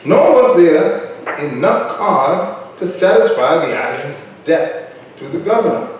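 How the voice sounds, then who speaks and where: A man's voice: a little high, very animated, slow pace. A man reading scripted historical narration in a staged reading. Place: staged reading in a reverberant venue. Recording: echoey room from far off, noisy.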